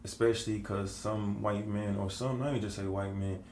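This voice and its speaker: A man's voice: fairly low pitch, fairly even intonation, normal speed, darkish tone, echoey room, slight background noise. A man co-hosting a podcast, talking in an easygoing way in loose, run-on phrases. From United States. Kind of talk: casual conversation.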